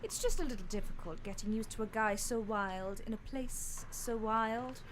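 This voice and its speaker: A woman's voice: on the high side, moderate pace, close to an everyday voice. A woman playing a sardonic young betrothed noblewoman in an amateur audio drama, dry and exasperated. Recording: noisy hobbyist recording, busy ambience.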